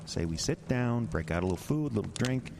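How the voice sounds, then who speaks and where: A man's voice: mid-range voice, animated, brisk, gravelly. An actor playing a wry, stubborn male bureaucrat with a folksy, coaxing manner in an audio drama. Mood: grumbling. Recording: noisy storm ambience behind.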